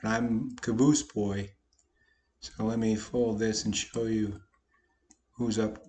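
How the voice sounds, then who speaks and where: A slightly hoarse man, a poker vlogger, casually talking through his online poker hands with a folksy, self-deprecating manner. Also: fairly low pitch, darkish tone, a little hiss or hum. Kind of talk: casual commentary over online poker play.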